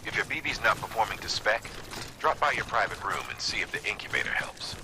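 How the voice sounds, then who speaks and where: An actor playing an instructive expert advisor in a video game, explaining in deliberate, measured phrases. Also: male voice, lowish voice, animated, background noise. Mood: grave, instructive. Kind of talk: scripted explanatory game dialogue.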